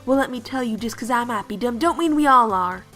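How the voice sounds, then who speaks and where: A woman's voice: on the high side, expressive, a little fast, fairly bright tone. A woman playing a brash, folksy young Southern student photographer with a twangy drawl in a game dub. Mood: indignant, scolding. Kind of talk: dubbed visual novel game dialogue. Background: background music.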